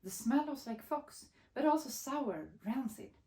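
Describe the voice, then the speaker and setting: A woman, reading a picture book aloud as expressive storybook narration. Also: sits high, unhurried, reverberant. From Sweden. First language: Swedish.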